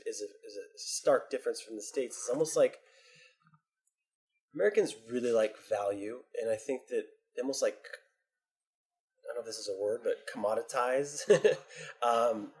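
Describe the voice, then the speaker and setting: A man's voice: mid-range voice, a little mellow. A man talking casually in an interview, hesitant and rambling with frequent laughs. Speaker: bar owner interviewee. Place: bar.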